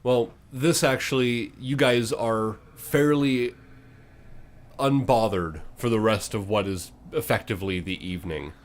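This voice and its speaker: A man narrating a tabletop game dramatically as game master, with deliberate, suspenseful phrasing. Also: mid-range voice. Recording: clear background noise and ambience.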